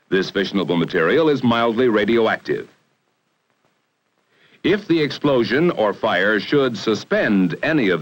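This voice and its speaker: An American man narrating a 1960 educational film in a formal, measured, authoritative way, on a vintage film soundtrack. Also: on the low side. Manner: formal, measured, authoritative delivery with emphatic phrasing.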